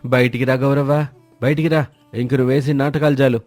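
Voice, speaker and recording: A man's voice: medium pitch, flat delivery, brisk, a little mellow, dry recording, faint music behind, lightly acted. A man voicing a village landlord's henchman in a moral story, rustic, agitated, servile and accusing.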